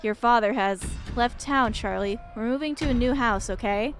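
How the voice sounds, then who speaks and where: A woman voicing an anxious, hurried mother who coaxes her small child in a visual novel. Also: on the high side, soft background music, natural voice. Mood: coaxing, hurried.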